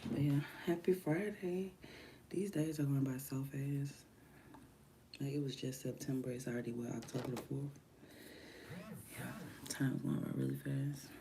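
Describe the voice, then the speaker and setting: A woman chatting casually on a morning livestream from home, her voice deeper than usual from just waking up, rambling and drifting between soft murmurs and livelier bursts. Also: steady tone, a little slow, vocal fry, pauses often, a little hiss or hum. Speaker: livestreamer and music artist.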